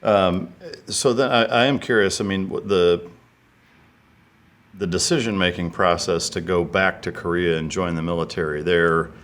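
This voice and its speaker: A male podcast host with a very deep, low voice. He poses his questions haltingly, stopping and starting again while he works out what to ask. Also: fairly even intonation, a bit bright. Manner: hesitant, restarting his questions. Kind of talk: interviewer asking questions.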